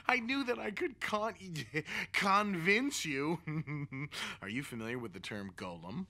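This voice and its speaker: Snarky vain cat warlock, swoops all over in pitch, cartoon with music. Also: male voice. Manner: sardonic, dramatic, wheedling. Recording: cartoon dialogue with music behind.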